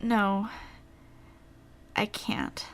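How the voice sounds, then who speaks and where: A woman playing a shy, polite young woman in a wartime audio drama, speaking softly and hesitantly. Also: medium pitch, expressive, very slow, vocal fry, full character voice. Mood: shy, unsure.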